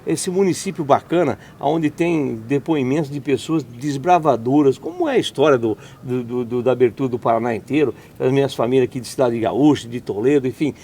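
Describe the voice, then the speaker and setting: A man's voice: mid-range voice, lively intonation, fairly quick, hardly any pauses, dark tone, a little hiss or hum. A Brazilian man hosting an interview, talking indignantly in long run-on sentences with many restarts. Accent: Brazilian Portuguese. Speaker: interview host. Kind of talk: conversational interview.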